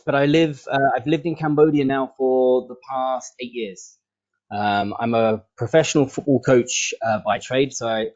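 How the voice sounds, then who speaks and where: English male football coach, relaxed and self-mocking, mid pitch, muffled call audio. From England. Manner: casual, self-deprecating, many ums and uhs. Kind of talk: online training session introduction.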